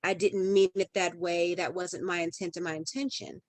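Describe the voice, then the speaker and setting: A woman's voice: on the low side, level tone, moderate pace, no echo. Emphatic woman guest on a podcast. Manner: emphatic, repeats phrases for rhythm. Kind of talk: conversational podcast interview.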